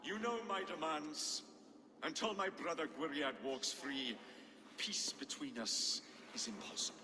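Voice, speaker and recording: A proud, vengeful king in a video game. He speaks slowly and gravely in a slightly high voice, full of menace, with long hall reverb. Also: animated. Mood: defiant. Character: proud, vengeful Briton king, a grown man. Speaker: video game character actor. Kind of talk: dramatic game cutscene acting.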